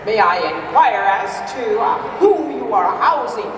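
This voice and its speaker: A woman's voice: a little high, very animated, measured pace. A woman playing a bumbling, lovesick male Mountie sergeant, declaiming grandly in live melodrama theater. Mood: officious. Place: live amateur stage performance at fair. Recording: echoey hall from far off, noisy.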